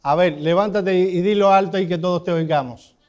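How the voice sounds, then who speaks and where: A high-voiced man with a Cuban Spanish accent leads a church Bible class. He keeps turning to the room with questions and calls on his students by name. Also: fairly even intonation, fairly quick, a little mellow.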